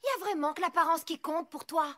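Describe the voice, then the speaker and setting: A woman's voice: extremely high pitch, steady tone, fast talker. A woman voicing a blunt, hardworking farm pony mare in a cartoon dub, practical and teasing. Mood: exasperated. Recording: loud cartoon music and rain behind.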